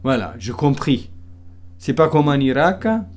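A man's voice: on the high side, animated, a little slow, darkish tone, soft background music. A man telling his story in a documentary interview, in broken French with simple repeated phrases.

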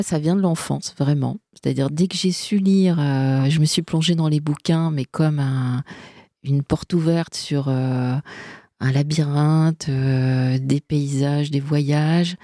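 A woman's voice: deep voice, mellow, no room sound, clean studio sound. A woman hosting a radio chat show about reading, chatty and hesitant with many fillers. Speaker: radio host. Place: radio studio.

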